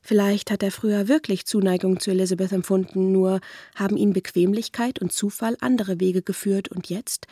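A woman playing a thoughtful, doubting young gentlewoman in a literary radio play, intimate and musing, at times hushed. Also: mid-range voice, steady tone, normal speed, breathy edge, no echo, studio quality, close to an everyday voice. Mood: musing, doubtful.

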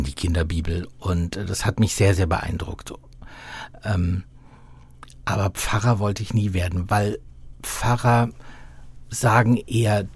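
A man from Bavaria speaking standard High German as an interview guest, thoughtful and reflective, with halting phrasing. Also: warm tone, no echo. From Bavaria, southern Germany. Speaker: guest, theatre festival director.

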